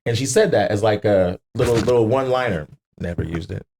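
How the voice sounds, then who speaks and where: A man chatting casually on a podcast, joking and exclaiming in a raspy voice with plenty of swearing. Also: on the low side, brisk, slight background noise. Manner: animated, exclaiming, joking, profane.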